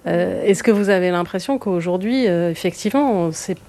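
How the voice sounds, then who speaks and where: A woman's voice: lowish voice, moderate pace, mellow. A woman reporter asking polite, informal questions and narrating a report. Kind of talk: interview questions and voice-over narration.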